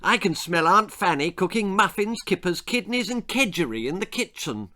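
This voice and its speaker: Guy doing a keen jolly schoolboy for an old storybook, high n bright, super polite. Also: animated, normal speed. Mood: excited. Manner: jolly, plummy 1940s slang, exclaiming. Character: eager, polite English schoolboy in old-fashioned adventure story.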